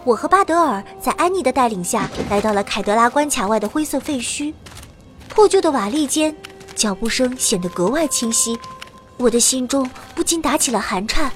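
A high, girlish voice of a young mage in an audio drama. She is talkative, timid and cocky, with faint music behind her. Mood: lively narrating. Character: chatty young mage girl narrating her adventure, timid yet cocky. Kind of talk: Chinese audio drama acting, first-person narration and dialogue.

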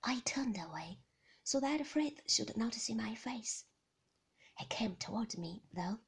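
Breathy whispering woman reading a novel. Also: on the high side, expressive. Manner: hushed, slow and intimate. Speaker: narrator. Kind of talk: whispered ASMR audiobook reading.

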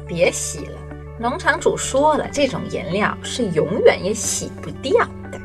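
A woman's voice: a little high, very animated, normal speed, over music. A woman voicing a disdainful, gossipy sheep with a snide, dismissive manner in a children's audio story. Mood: smug.

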